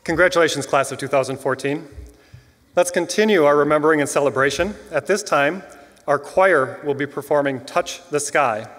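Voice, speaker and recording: Man, fairly high voice, formal measured ceremony address, school official, big echoey hall. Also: fairly bright tone. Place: large church auditorium with long reverb.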